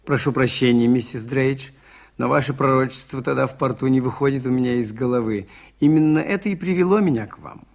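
A man's voice: mid-range voice, brisk, vocal fry, close to an everyday voice. A man playing a mild, shrewd priest detective in a radio play, speaking politely and hesitantly as he muses. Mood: reserved, polite. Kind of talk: 1980s radio play acting.

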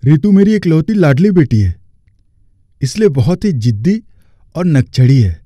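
A man voicing a kindly middle-aged company boss and indulgent father in a cartoon story, in a fatherly, measured way. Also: fairly high pitch, very animated, dark tone, dry recording, studio quality. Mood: exasperated. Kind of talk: Hindi cartoon story acting.

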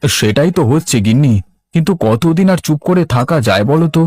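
A man's voice: fairly high pitch, expressive, brisk, darkish tone, dry recording, lightly acted. A man voicing a dejected but patient village fisherman in a cartoon, with a plaintive, complaining manner. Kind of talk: Bengali cartoon voice acting.

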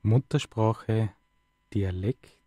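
A man's voice: fairly low pitch, very slow, dark tone, no room sound, clean studio sound. A man hosting a live radio interview, talking conversationally and asking many questions. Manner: conversational, asks many questions, tag words. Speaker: radio host.

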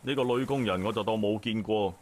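A man's voice: fairly low pitch, fast talker, lightly acted. A man voicing a confident, taunting police detective in a visual novel, assured and commanding, with a Hong Kong Cantonese accent. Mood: smug, assured. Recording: game voice track with faint noise.

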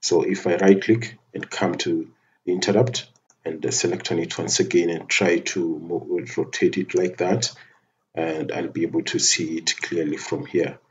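A man giving a methodical, step-by-step software tutorial over a screen recording, often restarting his phrases. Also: low voice, flat delivery, normal speed, lo-fi sound. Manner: methodical step-by-step narration with frequent restarts and fillers.

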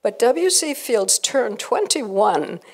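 An older American woman giving an informal, effusive talk to an audience on a handheld microphone, emphatic and sometimes halting. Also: a little high, big pitch swings. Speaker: event presenter. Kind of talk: informal live public talk. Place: hotel conference room before a seated audience.

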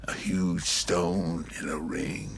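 A middle-aged heist planner in a crime game. His voice is very low and gravelly, with a sly, matter-of-fact delivery. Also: flat delivery, slow, slight background noise, full character voice. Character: shrewd, dry-humoured middle-aged male heist planner. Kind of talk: cinematic game dialogue.

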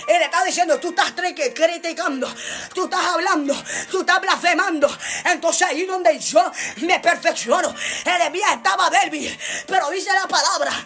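A woman with a strikingly high voice preaches a fervent sermon. She declaims in emphatic, repeated phrases, with an impassioned delivery that often climbs toward shouting. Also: normal speed, creaky, faint music behind. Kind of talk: fervent Pentecostal-style sermon.

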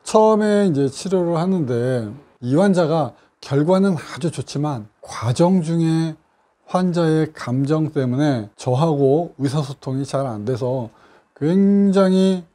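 A middle-aged man, a clinic doctor, calmly explaining a patient's treatment case in his office. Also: on the high side. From South Korea. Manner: measured, explanatory, with frequent fillers.

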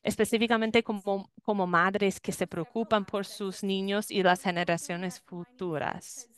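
Very clear woman interpreter on a call. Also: mid-range voice, a little slow. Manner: steady, fluent rendering with brief restarts. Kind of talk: live consecutive interpretation into Spanish. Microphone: video call microphone.

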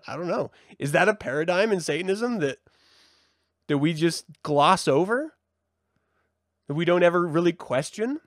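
A man's voice: fairly high pitch, animated, normal speed, pauses often, no room sound, clean studio sound. A man hosting a live talk, reflecting aloud with rhetorical questions and emphatic stresses. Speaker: livestream host and religious reverend. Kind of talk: reflective live monologue to an online audience.

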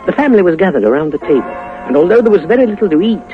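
A man's voice: high-pitched, big pitch swings, racing, phone-quality sound, over music. A man narrating a 1950s radio drama in a dramatic scripted storytelling style.

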